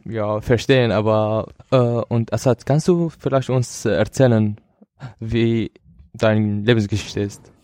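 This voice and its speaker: Slow, halting man with Syrian accent. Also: fairly low pitch, fairly even intonation, a little mellow, no room sound, studio quality. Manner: halting, searching for words in a second language. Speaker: podcast participant. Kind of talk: personal account in a podcast conversation.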